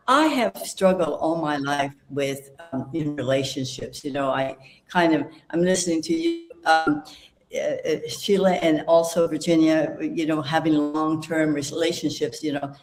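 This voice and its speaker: A woman's voice: deep voice, moderate pace, faint music behind, rough recording. Eighty-year-old woman, rambling and reflective. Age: 80s. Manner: reflective, rambling, many fillers and restarts. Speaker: panel guest. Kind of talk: casual panel conversation.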